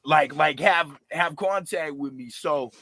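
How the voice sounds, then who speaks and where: Raspy man in his thirties, animated and passionate, bright edge, dry close sound. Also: a little high, slow pace, no echo. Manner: animated, passionate, slangy, lots of fillers. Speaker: rapper, interview guest. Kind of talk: casual interview talk.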